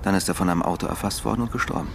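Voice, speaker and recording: An actor playing a weary returned husband in a naturalistic radio drama, speaking in a halting, subdued and deliberate way. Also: male voice, low-pitched, noisy background. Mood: grave, confessing. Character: weary husband returned after years of captivity, confessing.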